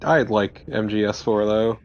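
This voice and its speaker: A man's voice: fairly low pitch, a little slow, a little mellow, dull audio. A man giving casual let's play commentary as a co-host, joking, sarcastic and given to swearing. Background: video game ambience.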